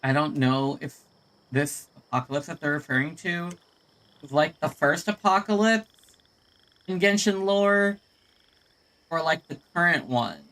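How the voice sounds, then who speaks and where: A woman's voice: deep voice, a little slow, pauses often, fairly bright tone, low-quality recording. A woman gaming streamer giving casual, reactive commentary with exclamations. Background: faint game music.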